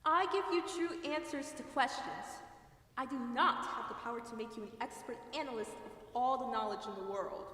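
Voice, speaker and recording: A woman playing an aloof, all-knowing female demon in an amateur audio drama, speaking in formal, measured phrases. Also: on the high side, fairly bright tone, lightly acted. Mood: formal, unbothered. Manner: formal, stilted, measured speech. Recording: echoey reverberant room.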